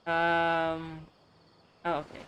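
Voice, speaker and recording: A woman's voice: low-pitched, monotone, extremely slow, a bit bright, clear, resonant tone, highs cut off. A woman giving casual commentary as she plays a video game, often thinking aloud absent-mindedly. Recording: faint game music behind. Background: video game music.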